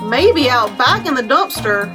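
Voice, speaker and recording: A woman's voice: high-pitched, very animated, unhurried, over music. An American woman hosting a storytime as a children's librarian, putting simple questions and explanations to young children.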